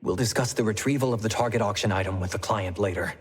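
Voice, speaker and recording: A man voicing a calm, tactful team leader in a video game, polite and measured. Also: fairly low pitch, breathy edge.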